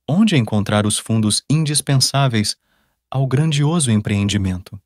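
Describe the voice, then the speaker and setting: A doubting apostle voiced by a man raises questions in an audiobook drama. The voice is medium in pitch and recorded dry, with little room sound. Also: lively intonation, measured pace, a little mellow, dry recording, close to an everyday voice. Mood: doubtful. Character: John, doubting male apostle raising practical objections.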